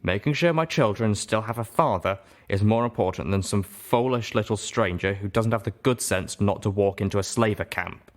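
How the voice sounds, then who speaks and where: A man's voice: fairly low pitch, normal speed, natural voice. A proud unicorn stallion, played by a male narrator, firm and defiant. The shopkeeper talks with open scorn and no shame, in a storybook drama.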